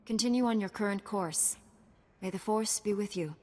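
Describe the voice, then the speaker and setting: A woman voicing a calm, solemn and selfless mystic knight in a video game, speaking formally. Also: mid-range voice, normal speed, in a large hall, close to an everyday voice.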